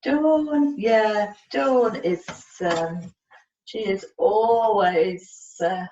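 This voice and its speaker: A woman giving a one-to-one lesson, coaxing and prompting, repeating words deliberately and spelling out letters one by one on a video call. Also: mid-range voice, mellow. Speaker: communication support partner helping a learner spell out words. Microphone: video call, away from the microphone. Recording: echoey room, muffled distant mic, faint noise.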